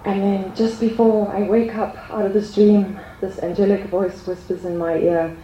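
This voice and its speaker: A woman giving an earnest spiritual testimony to a group, speaking hesitantly with many pauses and ums. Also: mid-range voice, level tone, mellow, roomy sound, highs cut off, slight background noise.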